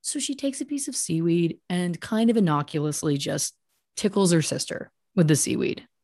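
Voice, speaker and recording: Woman podcast storyteller, lower-pitched, dry recording. Mock-serious, emphatic, chatty true-crime delivery with asides. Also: very animated, normal speed, darkish tone, no echo. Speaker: podcast host telling a story. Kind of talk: conversational satirical true crime retelling.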